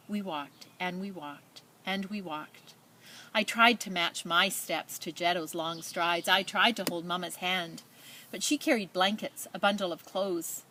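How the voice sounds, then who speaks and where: A woman reading a children's picture book aloud in a measured, expressive storytelling manner, with spoken asides. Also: fairly low pitch, a little hiss or hum. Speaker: storybook reader.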